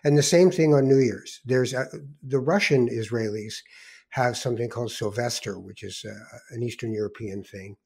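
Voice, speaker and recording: An older Canadian man hosts a podcast with a husky edge to his voice. He talks casually and reflectively, wandering through his thoughts as if in conversation. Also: mid-range voice, moderate pace, a little mellow. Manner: rambling, reflective storytelling with digressions. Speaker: podcast host.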